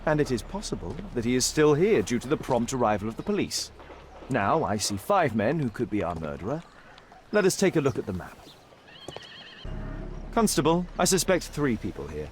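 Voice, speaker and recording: An actor playing a sharp, formal Victorian gentleman detective in a video game, with a British accent and precise, authoritative delivery. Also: medium pitch, big pitch swings, normal speed, a little hiss or hum, close to an everyday voice. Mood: brisk, commanding. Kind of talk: dramatic video game acting.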